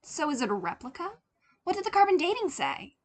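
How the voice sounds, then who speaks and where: A woman voicing a polite young boy in a story, careful and clear, eager to please his parents. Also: high-pitched, sing-song, a little fast, pitched above the performer's own voice. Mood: eager, curious.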